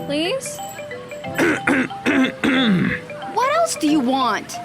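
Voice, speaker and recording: A woman's voice: sits high, extremely slow, vocal fry, over music, heavily acted. A woman playing a stubborn, self-important teenage girl who investigates the paranormal in an audio drama. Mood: bossy, stubborn. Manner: self-important, expressive, exasperated.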